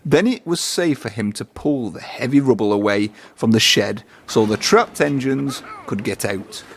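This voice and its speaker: A man's voice: medium pitch, animated, normal speed, creaky, a little hiss or hum. A man narrating a children's storybook, reading aloud.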